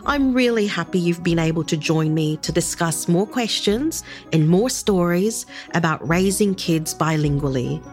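A woman hosting a podcast, moving between scripted narration and interview questions in a calm, measured, earnest way. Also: lowish voice, faint music behind. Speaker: podcast host.

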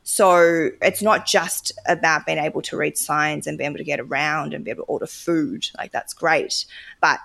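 A woman's voice: sits low, steady tone, moderate pace, vocal fry, fairly bright tone. A woman talking thoughtfully in a casual discussion, often hedging with "kind of". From Australia.

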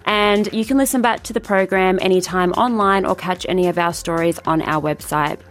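A woman's voice: lowish voice, a little fast. A woman radio host giving a scripted, friendly sign-off.

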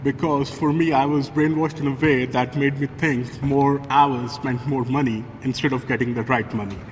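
A man's voice: mid-range voice, steady tone, normal speed. A man giving personal testimony as an interviewee. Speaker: interviewee, migrant worker. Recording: noisy, long echoing reverb.

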